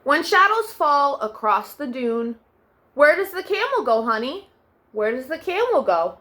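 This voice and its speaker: High bright-voiced woman reading picture books. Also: big pitch swings. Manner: gentle sing-song read-aloud, soft drawn-out refrains. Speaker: children's storytime host.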